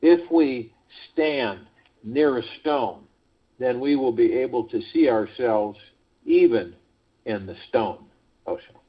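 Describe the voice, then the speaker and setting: A man hosting a guided meditation call, speaking in a measured, deliberate way with pauses between phrases, over a phone conference line. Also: medium pitch, expressive, breathy edge. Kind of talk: guided meditation talk, reading prepared spiritual text. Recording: muffled, lo-fi conference call audio.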